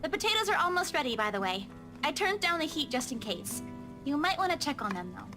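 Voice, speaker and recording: A woman's voice: high-pitched, sing-song, a little fast, music in the background. An actress playing a caring, playfully proud young woman in a visual novel, with a chirpy, reassuring way of talking. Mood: playful, defensive.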